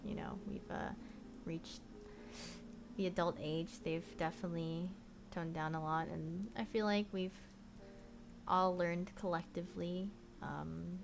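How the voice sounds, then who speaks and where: A young woman born in Korea, chatting casually to stream viewers from her bedroom, rambling with lots of "like" and "you know" and trailing off softly. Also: on the low side, little pitch movement, measured pace, vocal fry, pauses often, over music. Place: bedroom at home.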